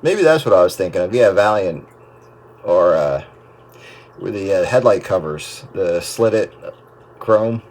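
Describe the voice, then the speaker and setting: Male, low register, casual rambling radio hobbyist, noisy transmission. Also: lively intonation, unhurried, long pauses, darkish tone. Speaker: host of an amateur radio chat. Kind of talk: casual radio chat about equipment.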